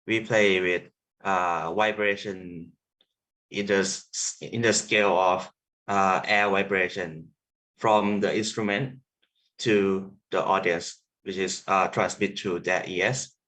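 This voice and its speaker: A young man from Thailand with a Thai accent, giving an online presentation as a sound artist, halting with many fillers and restarts. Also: deep voice, steady tone, fairly bright tone, poor audio.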